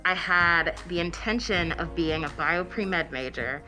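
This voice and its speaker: A young woman college student telling her story in a lively documentary interview. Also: fairly low pitch, measured pace, low-quality recording. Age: young adult. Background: music.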